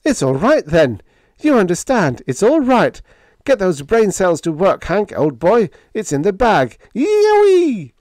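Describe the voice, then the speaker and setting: A man voicing an earnest, excitable young male spaceship lieutenant with a formal, emphatic delivery in a pulp science fiction story. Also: fairly high pitch, moderate pace, dry recording, pitched above the performer's own voice, close to an everyday voice. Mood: excited.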